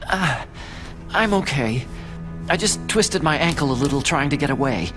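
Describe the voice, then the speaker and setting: Man playing a high-voiced, timid nerdy engineer. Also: animated, moderate pace, over music, close to an everyday voice. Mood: nervous, wary. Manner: hesitant, earnest. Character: timid, naive, nerdy male weapons engineer. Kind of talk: dramatic video game voice acting.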